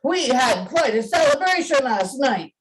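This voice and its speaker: Humble male clerk played by a woman: deferential, apologetic, highish voice, radio play. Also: big pitch swings, measured pace, poor audio. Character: meek, apologetic, underpaid male office clerk. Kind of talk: amateur radio play acting.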